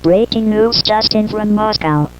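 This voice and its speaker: A woman's voice: medium pitch, a little slow. Synthetic woman, formal breaking news announcer, scripted, dull lo-fi sound, faint noise. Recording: muffled lo-fi broadcast with hum and noise.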